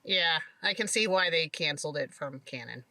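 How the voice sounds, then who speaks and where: A woman co-hosting a casual movie review chat, briskly steering the conversation. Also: sits low, animated, bright, forceful tone, dry recording.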